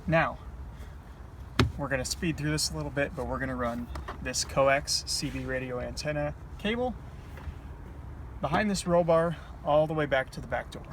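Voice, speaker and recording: A man's voice: fairly high pitch, normal speed, long pauses. A man hosting a casual do-it-yourself installation tutorial, explaining informally step by step as he works. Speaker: DIY installation host. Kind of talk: casual hands-on tutorial narration. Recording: distant mic, loud outdoor vehicle noise.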